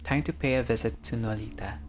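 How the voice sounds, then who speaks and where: A man's voice: mid-range voice, brisk. A man narrating a visual novel playthrough, reading the text aloud and adding casual commentary as a gamer.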